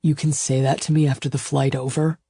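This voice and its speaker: Woman voicing a low, growling, possessive male lover. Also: fairly even intonation, moderate pace, creaky, warm tone, breathy edge, no echo, studio quality, full character voice. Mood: fierce, urgent. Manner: emphatic, clipped, insistent. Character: intense, demanding male lover, fierce and possessive. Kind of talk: audiobook romance character dialogue.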